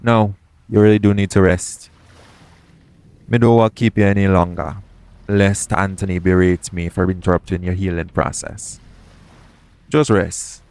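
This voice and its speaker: Casting: male actor as a roguish yet protective pirate captain, low-pitched, colloquial sea-dog speech, gently reassuring, for intimate audio roleplay. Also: flat delivery, normal speed, pauses often, smooth. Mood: tender, reassuring. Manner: colloquial seafarer's dialect, speaking tenderly to one listener.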